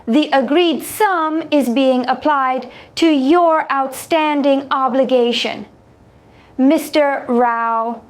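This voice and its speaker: A woman voicing a formal, ceremonious insectoid alien contract broker in a science fiction audio story, inscrutably polite and deliberate. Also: sits high. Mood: formal, inscrutable.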